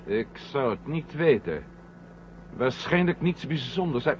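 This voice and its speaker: An actor playing a headstrong, curious astronaut with a commanding, impatient manner in an old radio drama. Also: male voice, mid-range voice, lively intonation, takes it slowly. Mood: businesslike. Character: headstrong, curious male astronaut on a moon mission. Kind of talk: 1970 Dutch radio drama acting. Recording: dull, noisy old radio drama recording.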